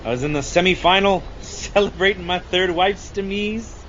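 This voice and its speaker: A man's voice: high-pitched, normal speed, a bit bright. A man playing a weathered, hard-drinking old shark hunter and haunted war veteran in an amateur audio adaptation of a film scene, with a salty, folksy storytelling manner. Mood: boisterous, tipsy. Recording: dull, noisy lo-fi recording.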